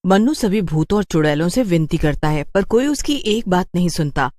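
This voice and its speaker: A woman's voice: fairly low pitch, animated, moderate pace, darkish tone, no room sound, studio quality. A woman storyteller giving scripted narration of a horror tale.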